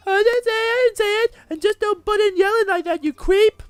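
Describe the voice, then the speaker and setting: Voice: extremely high pitch, a little fast, no echo, pitched above the performer's own voice. A game player putting on a whiny, gossipy teenage schoolgirl voice for a visual novel. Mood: whiny, indignant. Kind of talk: mocking amateur character reading.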